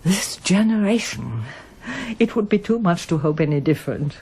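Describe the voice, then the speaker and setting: A woman's voice: fairly low pitch, lively intonation, normal speed, dark tone, a little hiss or hum. An actress playing a reserved, wistful older woman, a former pianist, in a radio drama, speaking with hesitant understatement. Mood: polite, reserved. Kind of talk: radio drama acting, monologue and dialogue.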